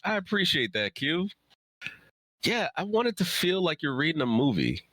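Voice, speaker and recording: A man's voice: fairly high pitch, sing-song, normal speed, fairly bright tone, no room sound, clean studio sound. A man in his thirties chatting casually and informally as an author on a livestream Q&A. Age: 30s.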